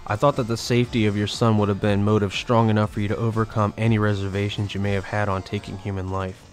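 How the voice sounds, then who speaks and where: A man's voice: lowish voice, little pitch movement, fast, lightly acted. A man voicing a cold, goading inner voice. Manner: formal, cool, goading. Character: cold, calculating male inner-voice companion. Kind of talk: amateur audio drama acting. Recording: faint background music.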